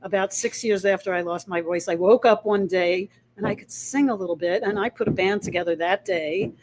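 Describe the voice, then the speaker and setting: A woman musician in a restaurant, talking with a husky voice in a relaxed, matter-of-fact way, sometimes hesitating. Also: mid-range voice, brisk, background noise. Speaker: singer and musician guest. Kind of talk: interview answers.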